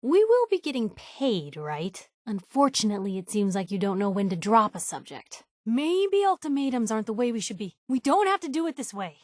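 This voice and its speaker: A woman's voice: a little high, very animated, moderate pace, few pauses, dry recording, studio quality. An actress playing a tenacious young American woman reporter in a post-apocalyptic video game, with sarcastic, snappy, streetwise banter. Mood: firm, pleading. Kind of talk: video game character dialogue.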